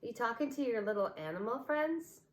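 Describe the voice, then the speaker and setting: A woman's voice: on the high side, animated, brisk, roomy sound, voice pushed up, close to an everyday voice. A woman voicing a teasing older sister in her early teens for a storybook reading.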